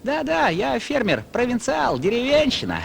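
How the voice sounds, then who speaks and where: High, creaky country pig. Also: male voice, wildly swooping pitch, normal speed, heavily acted. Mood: earnest protesting. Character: provincial farm pig, male, earnest country bumpkin. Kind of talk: cartoon dub acting. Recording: noisy clip from a TV cartoon.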